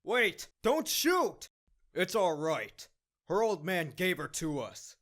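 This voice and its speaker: A man's voice: sits high, very animated, slow, a bit bright, heavily acted. A man voicing an embittered, frightened farm-worker thug in a comic dub, with a grim, aggrieved delivery. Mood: panicked.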